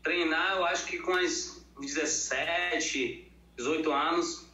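A man's voice: fairly high pitch, fairly even intonation, takes it slowly, pushed and bright. A Brazilian man from Bahia chatting casually as an interview guest, plain-spoken and colloquial. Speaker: interview guest, fitness professional. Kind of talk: casual chat. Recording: echoey room, dull lo-fi sound.

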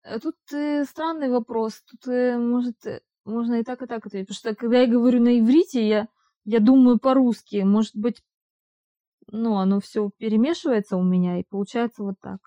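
Mellow-voiced woman, halting casual chat. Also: fairly high pitch, steady tone. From Russian-speaking immigrant living in Israel. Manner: hesitant, halting with restarts and fillers. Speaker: interviewee. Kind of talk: casual conversation.

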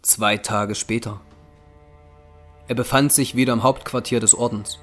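A man's voice: medium pitch, steady tone, unhurried, soft background music. A man narrating a German audio drama in a scripted, dramatic storytelling style.

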